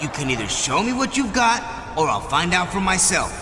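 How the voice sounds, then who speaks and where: A man's voice: sits high, very animated, fairly quick. A man playing an earnest, suspicious wrestler in a video game cutscene, emphatic and probing. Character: earnest, suspicious male wrestler confronting a shady friend. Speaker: professional wrestler. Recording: echoey hall, noisy ambience.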